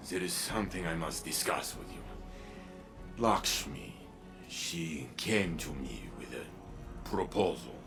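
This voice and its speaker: A man playing an earnest, humble but restless robot warrior in a video game, talking in a halting, plain-spoken way. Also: very deep voice, animated, creaky, music in the background, full character voice. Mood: troubled earnest. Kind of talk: video game character dialogue.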